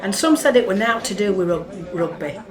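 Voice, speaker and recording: A woman's voice: medium pitch, animated, moderate pace, natural voice. A woman with a Northern English accent acting in a radio play as a blunt, level-headed, no-nonsense sceptic. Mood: sceptical. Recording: echoey room.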